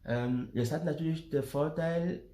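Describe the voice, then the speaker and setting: A man from Benin explains family life calmly and slowly, pausing often with fillers and repeating words as he searches for them. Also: fairly high pitch, reverberant. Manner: slow, hesitant, many fillers and repeated words. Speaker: father sharing family experience. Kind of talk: casual explanatory talk to camera.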